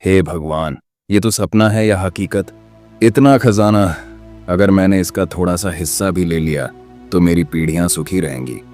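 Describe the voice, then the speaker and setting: An actor playing an honest, determined village blacksmith, earnest and dramatic, in a cartoon moral story. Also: male voice, deep voice, moderate pace, a little mellow, soft background music, close to an everyday voice. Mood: tempted, torn.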